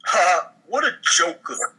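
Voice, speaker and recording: A man with a rather high, very bright voice takes part in a comedy podcast table read. His talk is casual banter. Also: very animated, takes it slowly, slightly rough. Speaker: podcast cast member.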